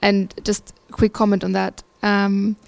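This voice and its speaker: A woman's voice: mid-range voice, completely flat delivery, unhurried, vocal fry, a little mellow. A young woman from Austria, a student asking an earnest question at a seminar into a handheld mic. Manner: earnest, with many fillers. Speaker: student discussant. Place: lecture room.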